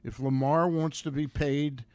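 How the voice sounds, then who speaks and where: A man co-hosting sports radio, giving casual commentary in a halting way and repeating phrases. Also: a little high, steady tone, darkish tone, clean tone, no echo.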